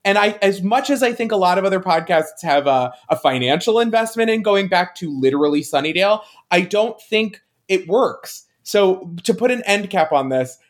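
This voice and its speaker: A man with a lively, swooping delivery and a voice pitched a bit high. He speaks as a podcast co-host in a casual fan discussion. His manner is emphatic and opinionated. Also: moderate pace, few pauses.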